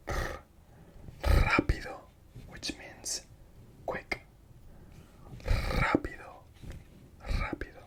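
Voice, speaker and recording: Slow, soft whispering language tutor. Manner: whispering softly, repeating each sound slowly; patient, gently encouraging. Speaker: Spanish teacher. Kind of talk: whispered ASMR language lesson.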